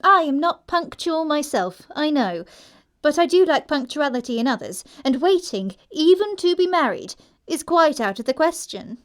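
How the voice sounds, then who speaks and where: Woman acting a high-voiced, pert young English lady. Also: big pitch swings, normal speed. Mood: petulant. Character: pert, wilful young English society girl. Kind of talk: stage comedy audiobook acting.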